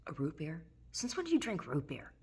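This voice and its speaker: A woman's voice: low-pitched, big pitch swings, a little fast, slightly rough, exaggerated voice. A woman reading the dialogue of a breezy, teasing, slightly defiant young woman in a novel. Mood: casual, teasing.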